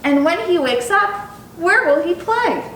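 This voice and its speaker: A woman reading the part of a patient mother in a children's storybook, gently questioning in a singsong, drawn-out way. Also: animated, full character voice. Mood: questioning, concerned. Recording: echoey room, distant mic, faint rumbling noise.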